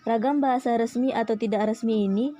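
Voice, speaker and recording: A woman's voice: fairly high pitch, a little fast, dark tone, soft background music. A young woman presenting a school assignment, steadily reading through a list of lesson points. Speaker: student presenter.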